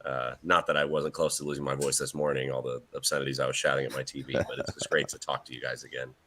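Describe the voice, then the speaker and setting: A man joining a fan stream remotely as a returning guest, gushing to old friends and trailing off quietly. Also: extremely low pitch, little pitch movement, quick pace. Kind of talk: casual chat on a live stream.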